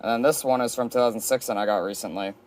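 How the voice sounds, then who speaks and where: A man's voice: mid-range voice, fast, crowd noise. A man casually presenting his collection in a show-and-tell vlog, recorded at home. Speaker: collector presenting a weather radio shelf tour. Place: indoor room at home with a shelf of radios.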